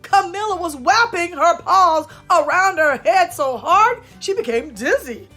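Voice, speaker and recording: A woman reads and talks to children as a storyteller. Her high voice moves up and down a great deal, and she phrases things slowly and emphatically. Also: expressive, sharp tone, soft background music. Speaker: storyteller and host of children's read-alouds. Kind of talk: expressive picture-book read-aloud and talk to children.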